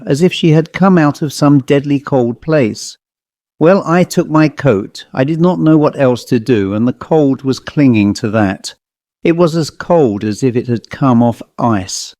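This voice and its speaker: Mellow, dry-recorded man reading a story. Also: medium pitch, dry recording. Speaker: audiobook narrator. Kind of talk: scripted narration of a first-person ghost story.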